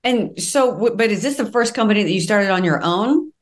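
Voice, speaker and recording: A woman's voice: medium pitch, animated, a little fast. A woman hosting an interview in a casual, conversational style. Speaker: interviewer, host.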